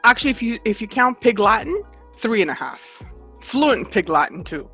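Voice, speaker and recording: A Canadian woman with a slight Greek accent, a guest in a casual interview, earnest and reflective and quick to laugh. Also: fairly high pitch, big pitch swings, a bit bright, husky, highs cut off, soft background music.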